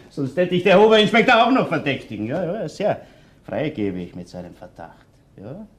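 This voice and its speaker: A man's voice: on the high side, big pitch swings, moderate pace. An actor playing a touchy, well-off middle-aged father in a crime radio play, prickly and sarcastic, with an Austrian accent. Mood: sarcastic, defensive. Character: touchy, indignant well-off older businessman, a father.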